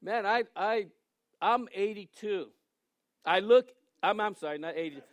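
A man in his sixties preaches with a high voice, trading jokes and asides with his listeners before slowing down to read scripture. The sound is dry and close, with no room echo. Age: 60s. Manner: joking, conversational preaching with asides; reads scripture aloud slowly. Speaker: pastor. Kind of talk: sermon.

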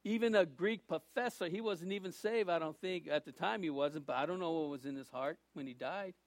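A man's voice: on the high side, a little fast, dry recording. A man, giving a loose, folksy sermon full of restarts and small jokes. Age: 60s. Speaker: preacher. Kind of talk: informal sermon.

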